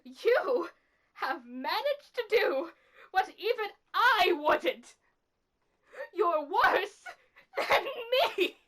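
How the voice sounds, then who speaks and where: Woman acting high-voiced, slow, anguished killer. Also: sing-song, fairly bright tone, full character voice. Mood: bitter, accusing. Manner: dramatic, deliberate, accusing delivery with pauses. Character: anguished, accusing revived killer, bitter and remorseful. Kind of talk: dramatic character monologue.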